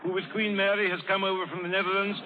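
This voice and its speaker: An actor playing a haughty, loyal English lord and royal envoy, formal and pompous, in old radio drama style. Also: male voice, sits high, flat delivery, fast, close to an everyday voice. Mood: earnest, formal. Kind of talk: 1930s radio drama acting. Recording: muffled old radio broadcast, crowd noise.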